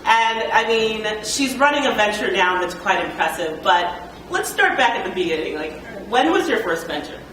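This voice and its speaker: A woman moderates a panel in a relaxed, casual way, introducing the speakers and putting questions to them. She sounds distant from the mic in a very echoey hall, with clear background noise. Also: mid-range voice, normal speed, few pauses. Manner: casual, uses "like" and "I mean". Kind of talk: introducing guests and asking questions. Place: event room. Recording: echoey room, picked up from far, background noise.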